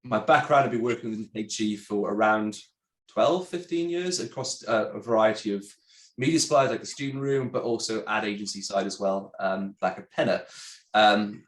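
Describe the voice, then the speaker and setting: A congested man with a chatty, halting manner, a sales director presenting a webinar. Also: on the low side. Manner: chatty, many ums and restarts. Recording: roomy echo.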